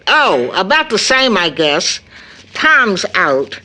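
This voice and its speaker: A middle-aged mother in an audio drama. She is tender and worried for her grown daughter, and her medium-pitched voice moves widely up and down as she speaks. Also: sing-song, slow, sharp tone, exaggerated voice. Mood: troubled, recounting.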